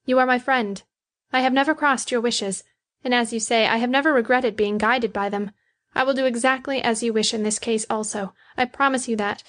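A woman's voice: a little high, steady tone, fairly quick, a bit bright, voice pushed up, natural voice. A woman voicing a proud, defiant young woman in love, emphatic and earnest, in an audiobook. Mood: solemn, challenging. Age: young adult. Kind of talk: audiobook character dialogue.

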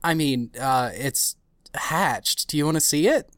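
Woman as doting spider mom, low-pitched, hesitant, earnest, understated, dry close sound, audio drama. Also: lively intonation, measured pace, no echo. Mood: nervous, awkward. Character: Martha, woman tenderly doting on a baby spider. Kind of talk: scripted amateur audio drama acting.

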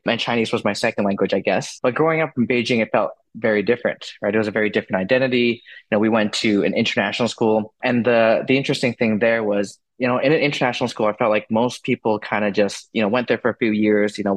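Brief: male podcast guest from Canada, low voice, rapid chatty delivery with many fillers. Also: no room sound. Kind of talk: casual interview chat.

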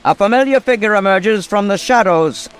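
An actor narrating a radio drama as a solemn storyteller. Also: male voice, high-pitched, normal speed, soft background music, lightly acted.

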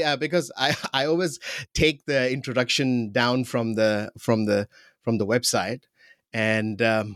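A man's voice: mid-range voice, a bit bright, no room sound. A man from Pakistan hosts a casual academic interview, and his voice sits mid-range and is recorded dry and close. He laughs often and talks with many fillers and restarts. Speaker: interviewer host.

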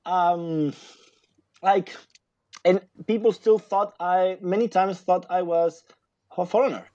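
A man's voice: high-pitched, steady tone, takes it slowly, warm tone, no room sound, muffled recording. A man from Argentina, a guest in a casual interview, talking in a rambling way with many fillers and restarts. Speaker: guest author, sociologist.

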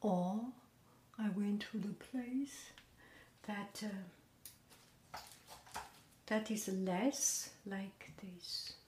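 Woman, podcast host, soft half-whisper, very slow pace, echoing room, faint background hiss. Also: medium pitch. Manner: gentle, half-whispered, relaxed delivery. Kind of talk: soft, slow casual chat. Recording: roomy echo with faint noise.

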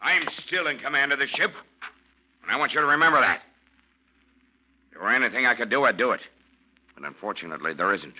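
An actor playing a troubled, defensive ship captain in a classic radio drama, speaking in a halting, strained way that can turn sternly commanding. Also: male voice, medium pitch, lively intonation, slightly rough. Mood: firmly commanding. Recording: muffled vintage radio recording.

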